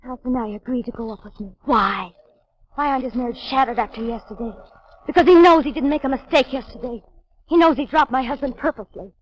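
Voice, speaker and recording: A woman's voice: sits high, fast talker, close to an everyday voice. Defiant young trapeze star, played by a woman: commanding, mocking, vintage radio noir delivery, muffled recording. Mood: defiant, taunting. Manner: commanding, taunting. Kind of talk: 1940s radio drama acting.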